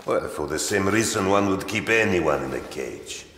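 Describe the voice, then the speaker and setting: An actor playing a sinister, unhinged mad scientist in an audio drama, with halting, mock-courteous menace and an oddly doting streak. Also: male voice, low voice, expressive, fairly bright tone. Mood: wistful, tender. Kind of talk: full-cast audio drama acting. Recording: echoey hall, faint music behind.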